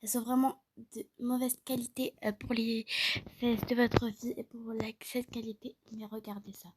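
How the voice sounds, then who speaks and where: A woman's voice: on the high side, flat delivery, normal speed, noisy background, pitched below the performer's own voice, close to an everyday voice. A woman voicing a polite, reassuring, slightly fussy female pediatrician in an improvised role-play, hesitant and courteous. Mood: concerned. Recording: loud music behind the voice.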